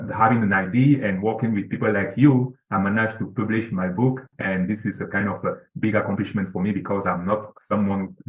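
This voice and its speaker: A man's voice: on the low side, reverberant, low-quality recording. A man from Cameroon, a guest author, talking earnestly over a video call with lots of fillers and repeats. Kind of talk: casual interview talk.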